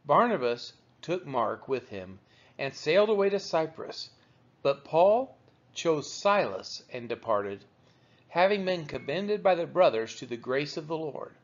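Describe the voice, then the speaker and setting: A man reading the Bible aloud as measured narration, with deliberate, emphatic phrasing. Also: fairly high pitch, very animated. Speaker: Bible reader. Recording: dull, lo-fi recording.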